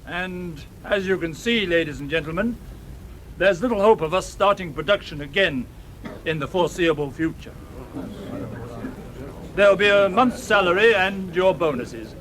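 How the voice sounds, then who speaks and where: An actor playing an ailing, weary but firm middle-aged British factory owner in a radio drama, speaking formally with some hesitation. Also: male voice, sits high, lively intonation, normal speed, pauses often, a bit bright, slight background noise, rough recording. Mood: formal, sombre.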